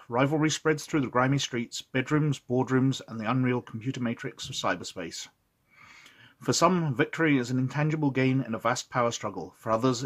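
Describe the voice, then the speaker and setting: A man with a head cold, sniffly, hosting a casual review of an old roleplaying game book and reading its text aloud, with chatty asides and frequent "uh"s. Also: medium pitch. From Scotland.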